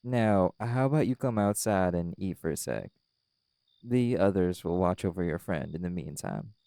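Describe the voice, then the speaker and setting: A man playing a calm, caring were-dog pack alpha in an audio roleplay, gently reassuring a friend. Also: low-pitched, normal speed, vocal fry, a little hiss or hum. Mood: gentle coaxing. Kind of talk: audio roleplay acting, intimate comforting talk.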